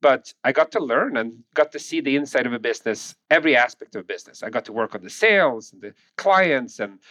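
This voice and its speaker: A man in his forties from Sweden with a Swedish accent, telling his story as a podcast guest in a relaxed way with frequent chuckles. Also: medium pitch, fast talker, no echo. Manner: relaxed, chuckling, restarts often. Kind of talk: conversational interview.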